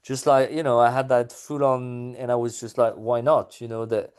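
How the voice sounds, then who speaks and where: A man's voice: medium pitch, flat delivery, normal speed, darkish tone, smooth. A man being interviewed about his music, talking casually with many fillers and restarts.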